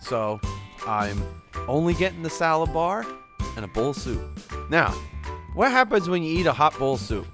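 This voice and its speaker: Stuffy-nosed male co-host, lively, jokey storyteller. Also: fairly high pitch, normal speed, long pauses, over music. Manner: jokey, laughs at his own story. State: stuffy nose from a cold. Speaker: podcast co-host. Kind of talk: casual chat, storytelling.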